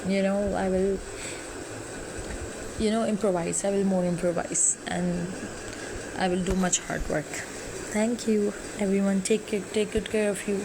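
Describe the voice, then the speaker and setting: Woman reading aloud in English, first language Urdu, halting and self-correcting, middle-pitched voice, steady background noise with passing vehicles. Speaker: YouTuber reading an interactive story. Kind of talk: reading an interactive story aloud.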